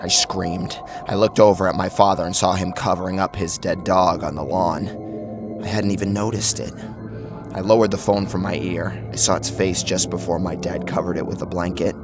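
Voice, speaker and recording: A man reads first-person horror as an audiobook narrator. His voice is low, grave and intense. A music bed runs clearly beneath the narration. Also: moderate pace.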